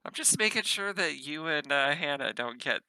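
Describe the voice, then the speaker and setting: A man's voice: a little high, lively intonation, moderate pace, sharp tone, clear, resonant tone, no room sound, low-quality recording. A man playing a tabletop game, chatting casually as he speaks for his character and himself.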